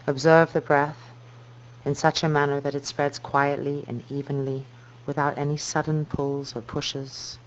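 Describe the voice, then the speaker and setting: A woman yoga teacher guiding a meditation in a slow, soft, calming and measured way. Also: deep voice. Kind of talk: guided meditation instruction. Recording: dull muffled recording with noise and hum.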